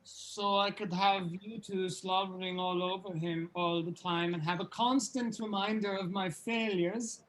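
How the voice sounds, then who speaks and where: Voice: mid-range voice, steady tone, measured pace, few pauses, fairly bright tone, a little hiss or hum, natural voice, rough recording. An actor in an informal table read playing a bitter, sardonic male musician overshadowed by a brother. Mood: resentful.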